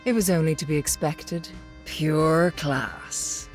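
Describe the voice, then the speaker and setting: A woman's voice: deep voice, slow pace. Haughty, well-spoken female scientist over music. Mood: smug, proud. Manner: well-spoken, lyrical, confident, dry. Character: haughty, brilliant, ruthlessly driven female scientist. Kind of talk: video game hero voice lines.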